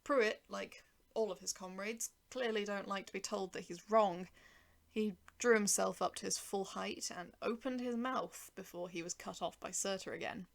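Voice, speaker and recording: A woman's voice: medium pitch, normal speed, gravelly. A woman narrating a dramatic first-person short story.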